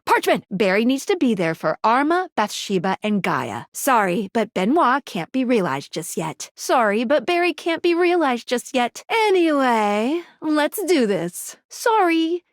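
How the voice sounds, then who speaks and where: A woman's voice: high-pitched, dry recording. Playful female game helper, peppy, exclamatory, swooping intonation, close dry studio sound. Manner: perky, theatrical, exclamatory delivery. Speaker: voice actor in a dating sim game. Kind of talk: animated video game character voice lines.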